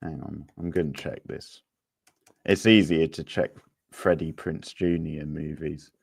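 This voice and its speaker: A man with a deep voice hosts an informal quiz. He takes his time, rambling casually and thinking out loud. The sound is dry, with very little room to it. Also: fairly even intonation, unhurried, vocal fry, slightly rough, no echo. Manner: chatty, rambling, thinking aloud. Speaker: quiz host. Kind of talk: casual live quiz hosting.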